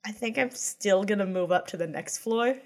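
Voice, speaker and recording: Young woman player, chatty, thinks out loud, casual. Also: medium pitch, normal speed. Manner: chatty, thinks aloud, laughs. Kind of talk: playing a tabletop game.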